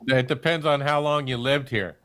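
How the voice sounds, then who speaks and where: A man's voice: medium pitch, steady tone, moderate pace, fairly bright tone, clear, resonant tone. Wisecracking man in a casual chat. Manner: wisecracking, laughs at his own jokes. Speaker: podcast co-host.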